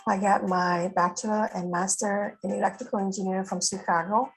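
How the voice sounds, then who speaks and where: A woman's voice: medium pitch, flat delivery, a little fast, soft background music, rough recording. A woman, a committee vice chair giving a hesitant recorded welcome with fillers. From Vietnam.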